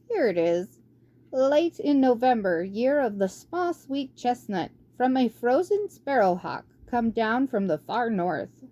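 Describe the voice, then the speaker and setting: A woman voicing an ancient, fussy and forgetful male mouse scholar who squeaks barely above a whisper and mutters to himself. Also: warm tone, heavily acted. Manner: doddering, muttering hmm and tutting. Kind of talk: audiobook character voice.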